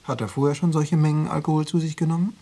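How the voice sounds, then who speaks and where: An actor playing an insinuating Stasi officer in a radio drama, probing and coaxing with cool formality and veiled menace. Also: male voice, a little high, steady tone, fast, dark tone. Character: insinuating male Stasi officer pressuring an informant. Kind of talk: German radio drama dialogue.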